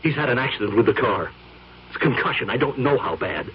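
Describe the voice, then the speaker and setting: A man playing a gruff, scolding friend who speaks bluntly and low-key. The sound is a dull vintage radio broadcast with faint hiss. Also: lively intonation, fairly quick, natural voice. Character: blunt, disapproving male friend. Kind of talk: 1970s radio drama acting.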